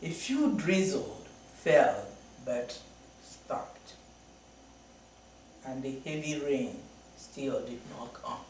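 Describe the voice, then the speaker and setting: A man reading a folktale aloud as storybook narration, slow and deliberate. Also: mid-range voice, expressive, darkish tone, slight background noise. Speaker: storybook reader. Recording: very echoey room, from far off.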